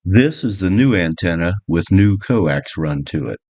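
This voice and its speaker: Male instructional presenter, notably low voice, explaining technical gear step by step. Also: animated, a little slow, mellow, lo-fi sound. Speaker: presenter explaining boat electronics. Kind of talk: instructional narration.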